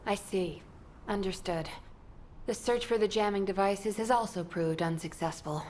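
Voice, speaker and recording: A woman's voice: medium pitch, moderate pace, darkish tone, a little hiss or hum, natural voice. A woman playing a composed, authoritative military squad leader in a video game. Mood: brisk, formal.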